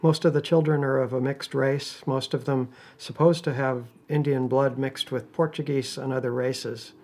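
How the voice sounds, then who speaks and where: A man talking informally as a researcher, in a halting, matter-of-fact way. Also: medium pitch, steady tone, a little mellow. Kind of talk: informal talk, reading out quotes.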